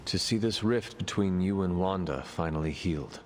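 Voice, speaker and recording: A fairly low, reverberant voice, a man acting an earnest hero in a game cutscene. He speaks calmly and formally, weighing each phrase, with music behind. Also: natural voice. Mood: gentle counsel. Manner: measured, formal, few contractions.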